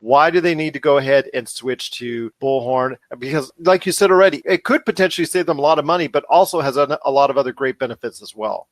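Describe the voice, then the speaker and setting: A man's voice: mid-range voice, fast talker, few pauses, dry recording. A man hosting a podcast interview in a wordy, effusive, promotional conversational style.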